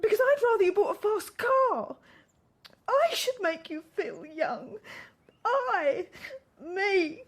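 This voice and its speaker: An actress playing a bitter, wounded middle-aged wife in a radio play, upset and accusing. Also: female voice, very high-pitched, sing-song, slow, heavily acted. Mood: hurt, furious. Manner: accusing, emphatic. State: upset, tearful.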